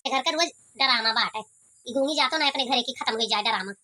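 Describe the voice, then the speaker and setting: Woman voicing a high, sharp-tongued resentful younger sister, Awadhi accent. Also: quick pace, a little hiss or hum. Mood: sulky, indignant. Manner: complaining, scolding. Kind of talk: cartoon comedy voice acting.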